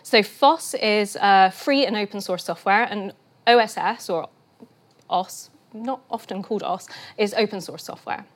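Croaky lecturer getting over a cold. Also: female voice, medium pitch. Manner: measured explaining with fillers and restarts. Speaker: guest lecturer. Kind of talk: lunchtime lecture presentation. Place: lecture room.

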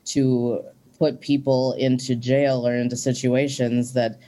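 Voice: medium pitch, flat delivery, measured pace, warm tone, poor audio. A guest in their forties from Minnesota, talking earnestly and reflectively in a casual interview, with frequent ums.

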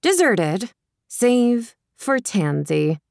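A woman reading a romantic comedy audiobook with wry, expressive, dramatic phrasing. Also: on the high side, drawn-out words, gravelly, dry recording, studio quality. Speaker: audiobook narrator. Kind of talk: scripted fiction narration, romantic comedy.

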